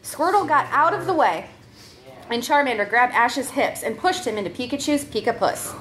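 A woman's voice: sits high, lively intonation, moderate pace, fairly bright tone, low-quality recording. A woman reading a comic story aloud as a performer, giggly and prone to breaking up as she goes. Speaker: comedy troupe member reading a story.

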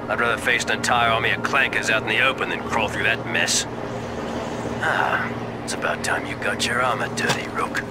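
An actor playing a gruff, battle-hardened clone trooper in an animated game, with a military manner. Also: male voice, mid-range voice, normal speed, over loud music, close to an everyday voice. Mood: gruff, weary. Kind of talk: animated game cutscene acting.

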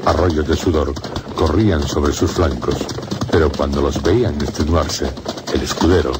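A man narrating a gothic horror radio drama in a solemn, measured way. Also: rumbling low, fairly even intonation, heavy background noise. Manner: solemn, measured storytelling in short phrases. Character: grave male narrator of a gothic horror tale. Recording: music under the voice.

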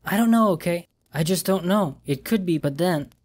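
A man's voice: high voice, lively intonation, normal speed, darkish tone, voice pushed up. A man voicing a panicked young woman who is speaking over the phone in a horror story. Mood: frightened. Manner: frantic, breathless.

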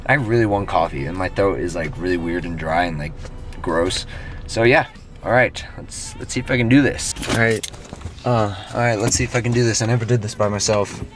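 A man's voice: fairly low pitch, brisk, soft background music. A young man chatting casually to a handheld camera for his vlog, rambling with lots of fillers, first in his bedroom and then in his car. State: groggy and hoarse after waking. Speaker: vlogger. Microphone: handheld camera at arm's length.

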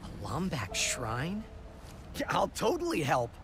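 A man's voice: fairly high pitch, wildly swooping pitch, slow, heavily acted. A man playing a young, friendly, earnest cat-like alien hero in a video game. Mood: friendly, hesitant. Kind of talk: video game cutscene acting. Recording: loud music behind.

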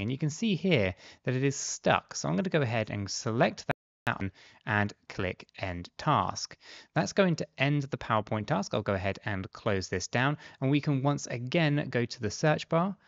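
A man with a gravelly, creaky voice walks through a computer tutorial in a casual, conversational tone. He explains things step by step at a close mic, with a very dry and slightly dull sound. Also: medium pitch, fairly bright tone, dry recording. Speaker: tutorial host. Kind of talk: casual software tutorial narration. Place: bright high-rise office room, indoors. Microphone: close desk microphone.

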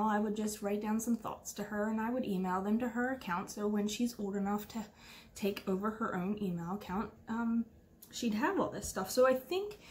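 A woman's voice: on the high side, darkish tone, a little hiss or hum. Chatty 38-year-old woman knitting podcaster. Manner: chatty, rambling, full of fillers and restarts. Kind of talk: casual rambling chat to camera. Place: living room at home, indoors.

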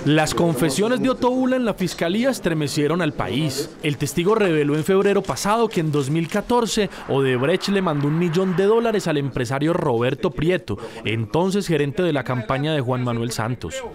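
A man's voice: a little high, expressive, moderate pace, few pauses, a crowd in the background. A man with a Colombian accent voicing a news report in an emphatic narrating style. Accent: Colombian Spanish.

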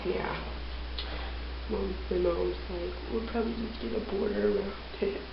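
A woman's voice: medium pitch, big pitch swings, moderate pace, gravelly. A young woman chatting casually into a handheld camera at home, rambling with lots of fillers. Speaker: vlogger. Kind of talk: casual daily vlog chat. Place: small bedroom and bathroom at home. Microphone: handheld camera at arm's length. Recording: lo-fi camera audio with loud hiss.

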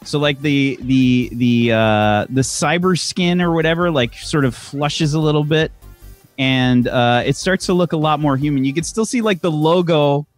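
A man's voice: on the high side, normal speed, soft background music. A young man narrating as game master in a tabletop game, rambling with lots of fillers. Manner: rambling, many likes and fillers.

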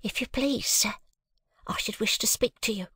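A woman's voice: on the high side, moderate pace, bright, forceful tone, slightly rough, no room sound, in a whisper, clean studio sound, voice pushed up, exaggerated voice. A woman voicing a housemaid in an audiobook, deferential in manner but insistent. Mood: deferential insistence. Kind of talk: audiobook character acting.

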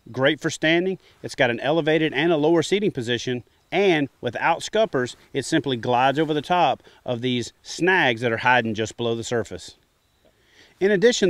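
A man's voice: on the high side, no echo. A middle-aged man hosting a fishing show, talking casually and jokingly from his kayak on a wooded lake. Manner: joking, easygoing. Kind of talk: casual on-camera talk from a kayak. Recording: music bed underneath.

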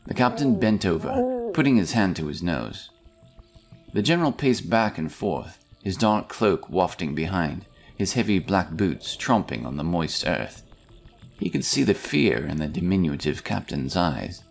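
A man reading a scripted fantasy story as an audiobook narrator, in a dramatic, deliberate manner. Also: deep voice, sing-song, gravelly, faint music behind.

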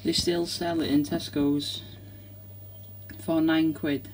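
A man's voice: a little high, big pitch swings, slow pace, gravelly. A man with a British accent giving a casual hands-on demonstration as the host of a home video. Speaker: host showing a radio collection. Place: indoors at home, small room. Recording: very noisy home recording with radio static. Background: radio static and clicks.

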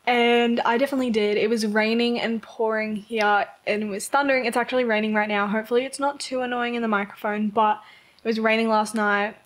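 Chatty young Australian woman, higher voice. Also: steady tone, fairly quick. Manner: chatty, rambling, with frequent "like" and small laughs. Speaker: Sims content creator. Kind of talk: casual chat over gameplay. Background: rain heard outside.

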